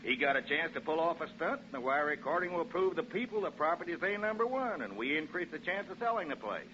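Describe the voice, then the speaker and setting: A man's voice: a little high, big pitch swings, fast talker, pushed and bright. An actor playing a breezy, placating American property salesman with a folksy, glib manner, in a 1940s radio drama. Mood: breezy, persuasive. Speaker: real estate agent. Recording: dull, noisy 1940s radio recording.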